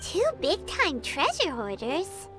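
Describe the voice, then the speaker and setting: A woman's voice: big pitch swings, slow, exaggerated voice. A woman voicing a tiny, chirpy fairy companion who talks about herself in the third person, in a video game. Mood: curious. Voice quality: high squeaky childlike voice. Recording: game audio with loud music.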